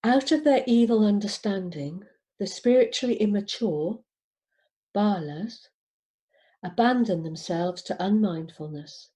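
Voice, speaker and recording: A woman reading scripture aloud in a measured, deliberate way, pausing between short phrases. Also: medium pitch, mellow. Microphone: video call over Zoom. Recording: dull, lo-fi video call.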